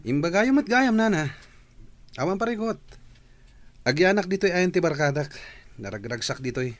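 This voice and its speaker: A man's voice: high voice, lively intonation, normal speed, dry recording. A man playing an evasive, easygoing young son in a radio comedy drama, breezy and reassuring.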